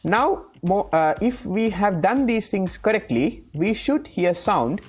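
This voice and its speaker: A woman hosting an informal step-by-step software tutorial, often checking in with the listener as she explains. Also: medium pitch, animated, slow pace, husky, soft background music. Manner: frequently checks in with "right?". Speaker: tutorial host.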